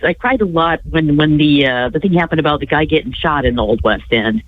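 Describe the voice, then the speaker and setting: A woman co-hosting a radio chat with a stuffy nose from a cold, rambling with halting restarts and frequent chuckles. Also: low-pitched, expressive, dry recording. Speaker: radio show co-host. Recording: tinny phone line.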